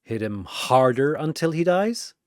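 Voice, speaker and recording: A narrator voicing a gruff, protective female brawler with a blunt, terse manner in an audio story. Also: mid-range voice, very animated, measured pace, dry recording, clean studio sound.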